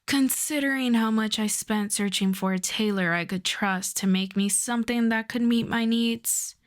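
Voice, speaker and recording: A woman's voice: fairly high pitch, lightly acted. A woman playing a wry, flirtatious female supervillain coaxing a favor, in an intimate, conversational ASMR roleplay style. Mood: persuasive, wry. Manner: unhurried, teasing, conversational.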